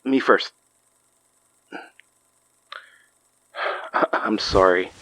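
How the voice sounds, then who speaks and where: An actor playing a caring, wry man in a horror audio drama, with halting, sincere, naturalistic delivery. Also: lowish voice, pushed and bright, dry recording, full character voice, rough recording. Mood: apologetic. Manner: halting, sincere, dry humour. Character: caring, wry, apologetic ordinary man in supernatural trouble.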